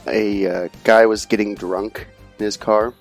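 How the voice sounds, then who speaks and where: A man's voice: lowish voice, level tone, measured pace, soft background music. A man co-hosting a podcast, telling a story in a casual, laid-back way with fillers and swearing. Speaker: podcast co-host. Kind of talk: casual chat.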